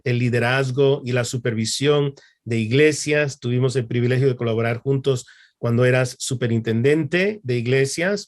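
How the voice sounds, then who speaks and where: A man hosting an interview as a fellow pastor, speaking in a courteous, deliberate way. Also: medium pitch. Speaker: interviewer, pastor and church supervisor.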